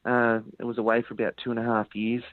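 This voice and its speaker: A man's voice: mid-range voice, completely flat delivery, fast, no echo. An Australian man from Sydney calls in as a musician guest and talks in loose, meandering bursts, often stalling on ums and restarts. His voice sits mid-range for a man. It comes through a narrow, tinny phone line with a dry sound. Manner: rambling, hesitant, lots of ums. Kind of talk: phone-in radio interview.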